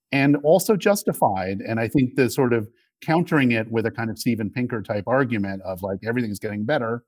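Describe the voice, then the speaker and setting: A man speaking as a guest in a casual political discussion, measured and hesitant, restarting his phrases. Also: lowish voice, darkish tone, no room sound. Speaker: podcast guest, media executive.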